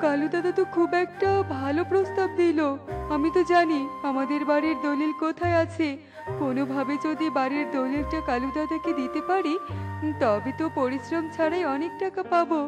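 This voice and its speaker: A woman's voice: high voice, brisk, music in the background, lightly acted. A woman voicing a gullible young male bird in a cartoon, naive and eager in manner. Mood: puzzled, eager. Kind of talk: cartoon character voice acting.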